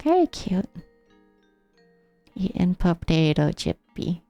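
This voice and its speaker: An American woman streams a game with casual, musing commentary. Her voice is soft-edged and dark, sitting a little low, with a slight speech impediment and an affected, trained silliness. Faint game music plays behind her. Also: sing-song, takes it slowly, gravelly, no room sound. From United States, Rocky Mountain area. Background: video game music.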